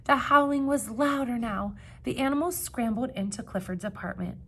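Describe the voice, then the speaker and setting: A woman teacher giving an expressive storybook read-aloud, with teaching asides and questions for young listeners. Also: on the high side, moderate pace, breathy edge.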